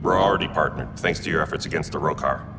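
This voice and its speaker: An actor playing a gruff, skeptical male official in a video game, blunt and clipped. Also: a bass voice, quick pace. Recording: noisy, distant, echoing hall.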